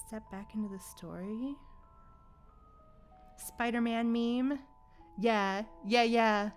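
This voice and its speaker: A woman's voice: a little high, steady tone, takes it slowly. Casual woman game streamer, music behind. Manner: playful, reacts aloud to the game. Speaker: game livestreamer. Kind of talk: casual live gameplay commentary, chatting with viewers.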